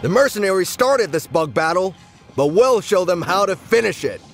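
Casting: dutiful military captain for a game, high-pitched, proud, clipped and soldierly, lively intonation. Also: male voice, moderate pace, music in the background. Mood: rallying. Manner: crisp, disciplined military delivery. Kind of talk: video game character acting.

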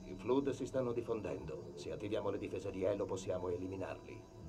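Terse military hero, deep bass voice, low-key game dialogue with loud score behind. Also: male voice, brisk. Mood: stoic, firm. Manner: clipped, calm, low-key. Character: stoic, terse male super-soldier hero. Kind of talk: dubbed video game cutscene acting. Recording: loud music under the voice.

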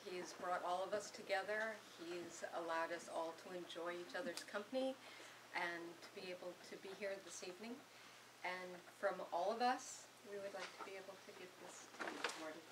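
A woman's voice: on the low side, fairly quick. A woman presenting a gift at a club dinner and giving heartfelt thanks. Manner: heartfelt, repetitive. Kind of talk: short thank-you speech. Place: indoor function room. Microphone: far camera. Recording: distant, noisy room audio.

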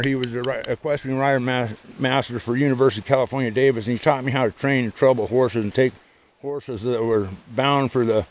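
A man talking casually to the camera outdoors by a creek, rambling between asides to his dog. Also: mid-range voice. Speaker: service dog trainer and vlogger. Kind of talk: rambling outdoor vlog chat. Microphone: handheld camera.

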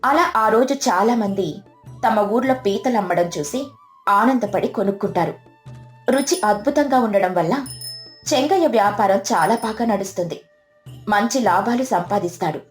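A woman's voice: mid-range voice, normal speed. Female storyteller for moral tales, scripted read, echoey sound with light music underneath. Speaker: story narrator. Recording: roomy echo, music under the voice.

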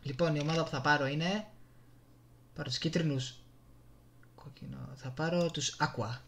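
A man's voice: fairly high pitch, fairly even intonation, creaky, fairly bright tone. A man with a Greek accent giving casual, slangy gameplay commentary with reactive exclamations. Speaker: gamer. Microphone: desktop USB microphone. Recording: noisy desktop mic with keyboard clicks. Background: keyboard and mouse clicking.

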